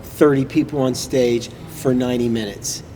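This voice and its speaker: A man's voice: medium pitch, steady tone, normal speed, mellow, slight background noise. A man, a theatre director, chatting as a studio guest, friendly and talking in short bursts with fillers. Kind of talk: casual interview chat.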